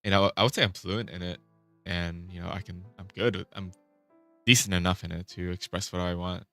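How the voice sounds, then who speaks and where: Male vlogger, very deep voice, loose rambling delivery, dry recording. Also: steady tone, fairly quick, bright, forceful tone, no echo, soft background music. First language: Vietnamese. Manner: rambling, self-interrupting, swears casually. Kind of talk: casual rambling chat.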